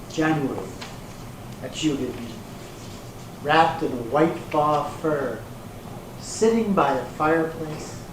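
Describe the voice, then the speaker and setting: Far off in a very echoey, noisy hall, a man acts out a slick promoter delivering his pitch slowly. He is grandiose and placating, selling hard in stage-reading style. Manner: salesman's patter, grandiose, placating. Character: slick, smooth-talking male promoter pitching a glamour calendar. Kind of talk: readers theater stage acting. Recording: echoey hall from far off, hissy room noise.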